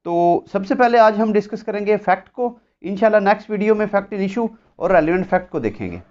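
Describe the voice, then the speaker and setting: A man with a Pakistani accent giving an explanatory law lecture, deliberate, often repeating and rephrasing his points. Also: sits high, animated, a little mellow. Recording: dull lo-fi sound.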